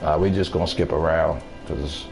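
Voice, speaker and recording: A man in his thirties chatting casually with viewers while gaming, laid-back, talkative and quick to laugh. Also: extremely low pitch, fairly even intonation, creaky, over music. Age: 30s. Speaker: gaming streamer. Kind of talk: casual live-stream chat while gaming.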